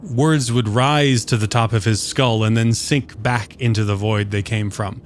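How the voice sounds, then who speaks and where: A man's voice: mid-range voice, steady tone, measured pace, slight background noise. A man reading scripted fiction as the narrator of a story. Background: soft guitar music.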